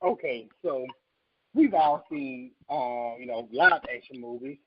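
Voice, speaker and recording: A man co-hosting a podcast in casual discussion, speaking informally and a little haltingly. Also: mid-range voice, breathy edge, no echo. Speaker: podcast co-host. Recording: dull, muffled lo-fi audio.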